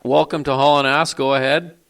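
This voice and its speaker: A man hosting a call-in show, brisk and dry, dismissive of prank callers. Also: mid-range voice, little pitch movement, a bit bright, clean tone.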